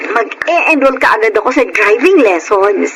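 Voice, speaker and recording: An actress playing a playful, gushing, affectionate young student sister in a radio drama. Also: female voice, on the high side, animated, moderate pace, background noise, close to an everyday voice. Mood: grateful, delighted.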